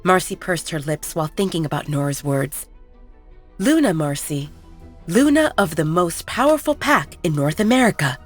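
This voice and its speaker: Low-pitched woman narrating romantic fiction. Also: animated, normal speed, soft background music. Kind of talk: scripted narration of a romance audio story.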